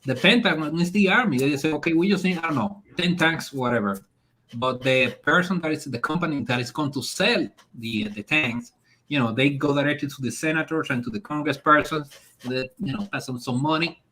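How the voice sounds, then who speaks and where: A man's voice: on the high side, a little fast, bright, forceful tone, rough recording. Dominican-accented man, casual talk-show guest. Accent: Dominican Spanish. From Dominican Republic. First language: Spanish. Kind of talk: casual political discussion.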